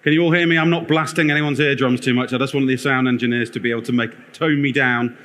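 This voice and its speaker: A man giving a lively conference product talk with a loud, energetic, self-deprecating manner as he wanders the stage. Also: medium pitch, fast talker, a little hiss or hum. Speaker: conference speaker, product lead. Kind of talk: live conference presentation. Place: conference theatre.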